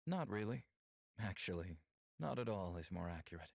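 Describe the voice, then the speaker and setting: A man playing a reserved, candid alien lover in a visual novel, with measured, formal phrasing. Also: deep voice, sing-song, creaky, darkish tone, dry recording, clean studio sound, exaggerated voice. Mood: calm, candid. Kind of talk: visual novel character acting.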